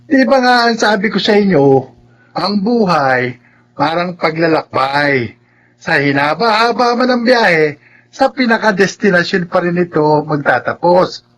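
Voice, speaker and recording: A man's voice: sits high, lively intonation, a little slow, fairly bright tone. A man with a Filipino accent playing a loyal, philosophizing errand man in a radio drama. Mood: philosophical. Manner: folksy, philosophizing. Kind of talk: Tagalog radio drama acting.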